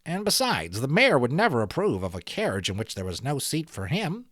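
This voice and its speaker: A man's voice: medium pitch, huge pitch swings, brisk, a bit bright, no echo, studio quality. A man voicing a fussy, nitpicking company director in a storybook, objecting hesitantly.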